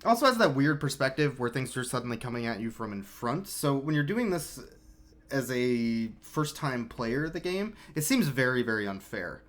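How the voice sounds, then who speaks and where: A man commentating his own video game speedrun in a relaxed, explanatory way, with sudden excited outbursts. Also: medium pitch, normal speed. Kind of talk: casual live-stream commentary. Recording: game music playing behind.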